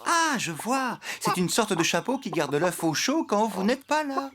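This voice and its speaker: A man's voice: sits high, a little slow, background noise. A man voicing a friendly, forgetful farmhand in a children's cartoon, eager to please and slightly flustered. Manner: animated, good-natured, slightly flustered. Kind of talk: French children's cartoon dubbing. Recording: background music under the voice.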